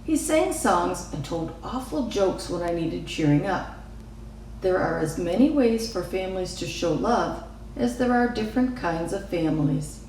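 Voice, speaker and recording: A woman voicing a gentle, reassuring storybook mother who explains things calmly and patiently. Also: on the low side, a little slow. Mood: fond, explaining. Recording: echoey room, distant mic, faint noise.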